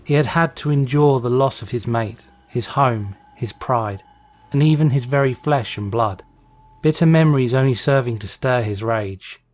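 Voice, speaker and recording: A man's voice: medium pitch, moderate pace. A man narrating a story as a scripted, dramatic reading. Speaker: fan fiction reader, narrator. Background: music.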